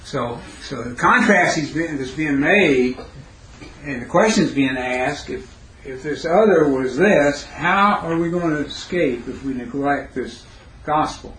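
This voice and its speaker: A man with a raspy, hoarse voice teaching a Bible class, pausing to quiz his students. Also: a little high, lively intonation, a bit bright. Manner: halting, questioning teacher who pauses to quiz the class. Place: classroom, indoors. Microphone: recorder set near the speaker. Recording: roomy classroom recording, faint noise.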